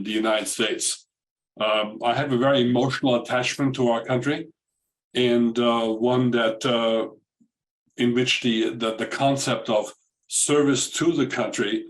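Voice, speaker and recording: A man in his sixties with an Austrian accent speaks formally and courteously as a panel moderator, in a measured, halting way. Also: mid-range voice, rough recording. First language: German. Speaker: moderator, retired diplomat. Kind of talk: semi-formal panel remarks. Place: video call webinar.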